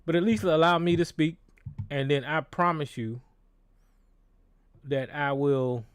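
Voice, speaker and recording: A man's voice: a little high, measured pace, clean tone, no room sound, clean studio sound. A man hosting a call-in show, talking bluntly and with a lot of profanity, often restarting his sentences. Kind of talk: casual call-in show monologue. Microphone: desk microphone with board.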